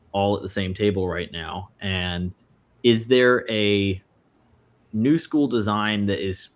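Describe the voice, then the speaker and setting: Low-voiced man in his twenties. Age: 20s. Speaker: panel participant. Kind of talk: casual chat.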